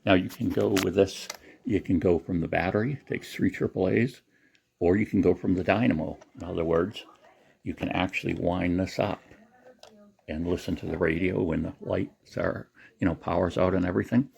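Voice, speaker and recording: A man's voice: sits low, steady tone, normal speed, vocal fry, darkish tone, a little hiss or hum. A man giving a casual, unscripted product demonstration in a rambling, matter-of-fact way. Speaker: presenter showing a secondhand gadget.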